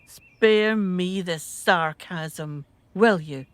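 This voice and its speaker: A woman's voice: lowish voice, very slow, no room sound. A woman playing an imperious, bitter wife and mother in a radio drama, with a haughty, scornful delivery. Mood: indignant, haughty.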